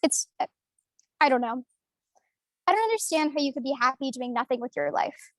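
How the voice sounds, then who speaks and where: High voice, dry close sound, confident young girl played by a woman, boastful, brash, challenging, table-read drama. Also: animated, moderate pace, a bit bright, dry recording.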